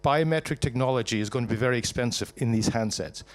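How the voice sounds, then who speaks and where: A Scottish man giving a live startup pitch to an audience, jokey and punchy, asking and answering his own questions. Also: quick pace. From Scotland.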